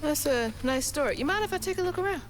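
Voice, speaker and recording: A woman's voice: high-pitched, lively intonation, quick pace, slight background noise, poor audio. An actress playing a determined, polite young woman in a video game, speaking casually and plainly. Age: young adult. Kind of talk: video game character acting.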